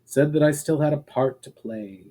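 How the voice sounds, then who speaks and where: A man voicing a weary, grieving old elven general in a storybook reading, speaking in a quiet, measured, solemn way. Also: fairly high pitch, close to an everyday voice. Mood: sombre.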